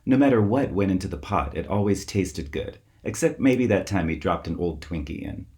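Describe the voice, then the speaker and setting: A man reading a children's story aloud as its author, with expressive, theatrical emphasis. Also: low-pitched, normal speed. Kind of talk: scripted storybook narration for children.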